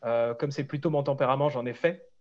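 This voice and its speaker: A man's voice: medium pitch, a little fast, muffled recording. A man on an online panel giving earnest advice about job interviews.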